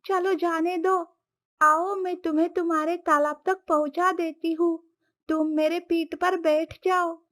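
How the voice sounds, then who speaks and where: Woman voicing a kind, high-pitched cartoon cat. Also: flat delivery, unhurried. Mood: caring. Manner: caring, soothing. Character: kind, helpful female cat, friend to all animals. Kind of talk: animated moral story dialogue.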